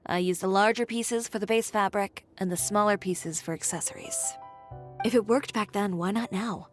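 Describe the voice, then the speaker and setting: A woman's voice: medium pitch, moderate pace, close to an everyday voice. A woman playing a blunt, fiercely determined young female fashion designer in a video game, composed, dry and self-assured. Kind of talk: video game character acting. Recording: game music behind voice. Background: game music.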